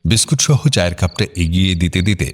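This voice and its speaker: A male narrator tells a dramatic story in a very low voice. His delivery is measured and somber, with faint music playing behind him. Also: animated. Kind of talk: scripted audio drama narration.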